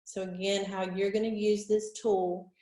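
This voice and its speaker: A woman, stuffy from a cold, leading an online training session for teachers in a clear, organized, instructive way. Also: mid-range voice, level tone, a little slow, dark tone. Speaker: instructional technology facilitator. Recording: roomy echo.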